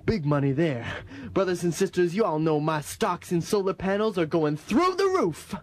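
A man's voice: high voice, sing-song, moderate pace, slight background noise. A man playing a fervent revival preacher of money and consumerism, bombastic and exhorting in a parody sermon. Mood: pompous, mocking.

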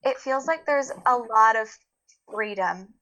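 Casual female interview guest, very bright voice, informal and emphatic delivery. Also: fairly high pitch, unhurried, low-quality recording. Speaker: interview guest, voice actor and audio play producer.

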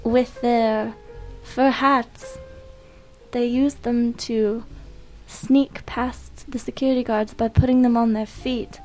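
Woman playing a high-voiced, melodramatic noir damsel. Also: flat delivery, takes it slowly, darkish tone, soft background music. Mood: conspiratorial. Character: breathless melodramatic female client in noir parody. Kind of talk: improvised hobby radio drama.

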